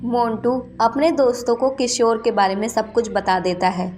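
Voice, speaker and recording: A woman's voice: fairly high pitch, moderate pace, darkish tone, soft background music. A woman reading scripted narration for a children's cartoon story.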